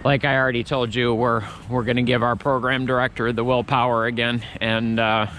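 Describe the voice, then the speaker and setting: A man's voice: mid-range voice, flat delivery, a little fast, fairly bright tone, slight background noise, rough recording. A man vlogging as he walks through an outdoor Christmas market, chatting in a rambling way with many restarts. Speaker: travel vlogger. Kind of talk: casual walking commentary.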